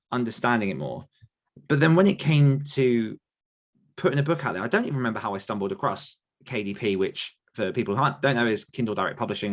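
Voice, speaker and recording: A man's voice: fairly low pitch, fairly bright tone. A man hosting a podcast, talking casually and rambling with many restarts and fillers as he answers questions. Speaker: podcast host and author coach. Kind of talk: casual conversational podcast chat.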